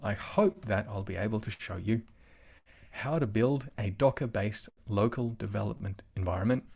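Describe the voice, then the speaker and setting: A man's voice: on the low side, moderate pace, gravelly, warm tone, slightly rough. An Australian man giving an online tech talk over a video call, chatty, with self-deprecating asides. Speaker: conference speaker.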